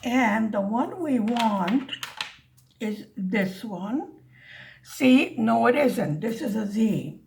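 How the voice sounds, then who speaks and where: Slow, chatty woman showing crafts. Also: fairly high pitch, expressive, creaky, darkish tone, a little hiss or hum. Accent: slight Hungarian-American accent. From United States. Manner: chatty, rambling, addresses viewers as folks. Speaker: craft vlogger. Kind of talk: casual chatty craft narration.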